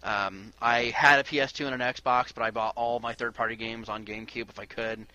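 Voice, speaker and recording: A man's voice: mid-range voice, steady tone, brisk, sharp tone, no echo, muffled recording. A man in his early thirties hosting a casual podcast discussion about video games. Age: early 30s. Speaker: podcast host.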